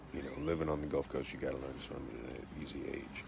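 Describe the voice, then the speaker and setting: Man, 40s, deep voice. Reads questions and answers them matter-of-factly. Casual self-interview, noisy recording. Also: vocal fry. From Texas, USA. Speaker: vlogger answering a question tag.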